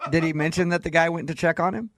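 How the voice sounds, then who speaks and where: A man's voice: on the high side, steady tone, quick pace, a crowd in the background. A man hosting a radio show in casual, joking morning banter, with a teasing, conversational way of talking. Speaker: radio host. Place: radio studio.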